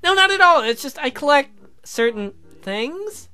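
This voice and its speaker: A man's voice: extremely high pitch, sing-song, measured pace, sharp tone, voice pushed up, full character voice. A man voicing a sweet, eager young woman with a coy, slightly hesitant manner in a visual novel.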